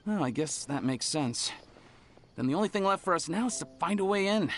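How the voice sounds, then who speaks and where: A woman's voice: fairly low pitch, animated, a little fast, faint music behind, close to an everyday voice. An actress playing a fretting, easily discouraged young female student in video game dialogue, speaking expressively. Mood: reconsidering.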